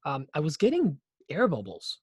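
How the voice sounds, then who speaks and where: A man co-hosting a casual podcast, talking through his workshop projects in a relaxed way, thinking aloud with fillers. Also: a little high, moderate pace, breathy edge, no echo, clean studio sound. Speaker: podcast co-host. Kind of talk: casual chat.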